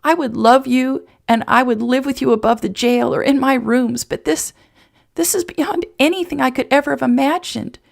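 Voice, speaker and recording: A woman's voice: mid-range voice, expressive, moderate pace, gravelly, pitched above the performer's own voice. A woman voicing a tender, emotional, playful woman in love in an audiobook romance, with heartfelt sincerity. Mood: overjoyed.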